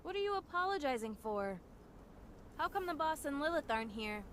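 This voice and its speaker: Anime game fighter, female: teasing, wry, battle-worn, high-pitched, slight noise behind. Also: big pitch swings, fairly quick, a bit bright. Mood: groggy, questioning. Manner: flippant, wry. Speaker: video game voice actor. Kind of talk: anime-style game dub acting.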